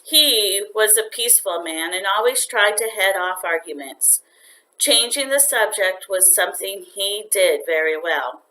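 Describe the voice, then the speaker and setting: Bright, slow-paced woman storyteller. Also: medium pitch, animated, rough recording. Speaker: educator reading aloud. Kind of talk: storybook narration.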